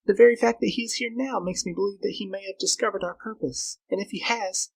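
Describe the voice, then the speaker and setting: A man's voice: high voice, steady tone, quick pace, vocal fry, husky, pitched above the performer's own voice, full character voice, low-quality recording. A man voicing a frightened, scheming young woman in a storybook reading, urgent and pleading. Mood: fearful, insistent.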